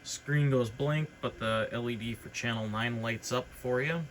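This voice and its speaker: A man's voice: mid-range voice, steady tone, unhurried. A man giving an informal, unscripted product walkthrough as a hobbyist presenter, explaining features in a hesitant way. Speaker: hobbyist presenter demonstrating radio gear. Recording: clearly noisy background.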